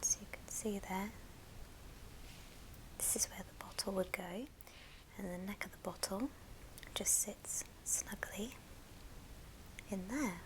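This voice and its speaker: A woman whispering gently and breathily in an unhurried ASMR show-and-tell style. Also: mid-range voice, rough recording. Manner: gentle, unhurried, soft-spoken. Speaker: ASMR video creator.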